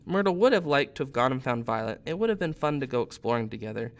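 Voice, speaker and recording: A man's voice: medium pitch, animated, fast talker. A man in his thirties, a teacher, narrating a storybook aloud expressively.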